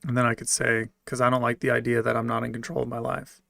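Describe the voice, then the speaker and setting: A man livestreaming, reading out his chat and answering it in a joking, deadpan way. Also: mid-range voice, quick pace, vocal fry, no room sound, studio quality.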